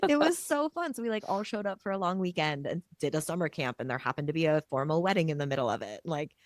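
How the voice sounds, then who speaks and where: A woman hosting a podcast, chatting in a reflective, relaxed way while she is losing her voice. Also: deep voice, quick pace, no room sound, studio quality. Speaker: podcast host. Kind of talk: casual podcast conversation.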